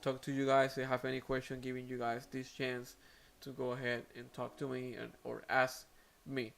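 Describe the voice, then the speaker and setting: A man's voice: medium pitch, monotone, normal speed, gravelly, a bit bright, soft background music. A man whose first language is Spanish, speaking English with a Spanish accent as host of an aquarium live stream, talking casually with many fillers and restarts.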